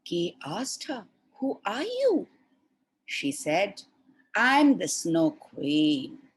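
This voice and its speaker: Very slow, expressive woman storyteller. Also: mid-range voice, breathy edge. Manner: deliberate, dramatic pauses between short phrases. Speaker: storyteller for children. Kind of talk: expressive children's fairy tale storytelling.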